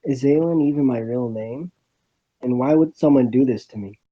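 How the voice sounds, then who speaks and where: A man reading a first-person visual novel story aloud, haltingly and with stumbles over the words. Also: medium pitch, warm tone, dull audio.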